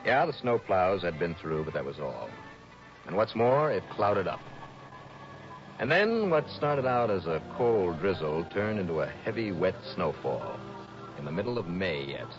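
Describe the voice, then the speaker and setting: An American man playing a brisk, wry insurance investigator in a 1960s radio detective drama, with clipped, punchy delivery. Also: sits low, sing-song, exaggerated voice. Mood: descriptive, dry. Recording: dull vintage radio recording with music.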